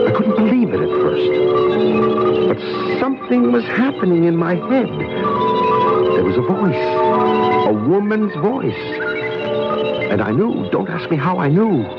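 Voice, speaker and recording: A man's voice: fairly high pitch, huge pitch swings, unhurried, pauses often, heavily acted. An actor playing a haunted, intense male weapons scientist in a 1970s radio drama, speaking emphatically and dramatically. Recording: muffled 1970s radio, faint music.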